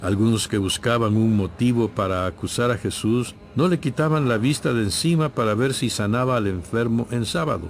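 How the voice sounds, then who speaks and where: A man giving scripted, measured and solemn narration for a dramatized audio Bible. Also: medium pitch, expressive, slight background noise.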